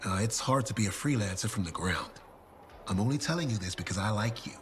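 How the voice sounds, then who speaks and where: A low male voice of a theatrical merchant in a video game. He is chatty and salesmanlike, yet self-deprecating. Faint ambience runs underneath. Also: animated, fast talker, a bit bright, a little hiss or hum. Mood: chatty, showy. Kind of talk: video game dialogue acting.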